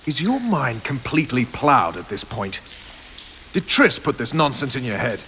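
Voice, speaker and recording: An actor playing a gruff, cynical military commander in a video game, blunt and sardonic. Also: male voice, medium pitch, big pitch swings, moderate pace, bright, forceful tone, a little hiss or hum. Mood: indignant, sarcastic. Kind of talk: video game cutscene acting. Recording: faint rain and ambience behind.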